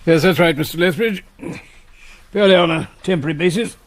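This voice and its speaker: An actor playing a retired English military colonel turned robber in a radio drama, clipped and polite in an officer-class way. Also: male voice, a little high, fast, lightly acted. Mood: smooth, courteous.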